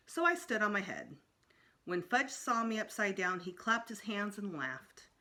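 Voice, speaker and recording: A woman reading a children's story aloud as storytime narrator, expressive, with emphatic pauses. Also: mid-range voice. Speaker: storytime reader.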